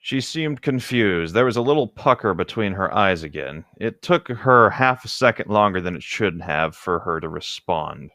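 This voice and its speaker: A man's voice: sits low, lively intonation, moderate pace, few pauses, pushed and bright, no room sound, clean studio sound. A man co-hosting a comedic read-along, reading a book aloud and breaking off into casual joking commentary.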